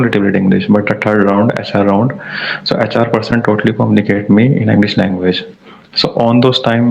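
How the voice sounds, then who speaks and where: A young Indian man whose first language is Hindi, speaking English in a halting way, repeating words as he searches for phrasing. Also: lowish voice, gravelly. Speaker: English learner in a practice conversation. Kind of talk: casual practice conversation. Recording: dull lo-fi audio.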